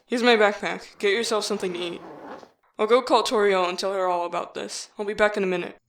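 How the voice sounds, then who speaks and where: A man playing a calm, determined young human leader in an audio drama, speaking earnestly and reassuringly. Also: high-pitched, fast, slight background noise. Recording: distant, heavy hall reverb over music.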